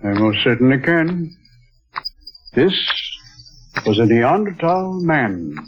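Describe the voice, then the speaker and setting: Scholarly doctor, lecturing, measured, old radio drama, muffled lo-fi broadcast. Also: male voice, medium pitch, very animated, noisy background. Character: learned older male doctor, scholarly and sure. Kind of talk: 1940s radio acting.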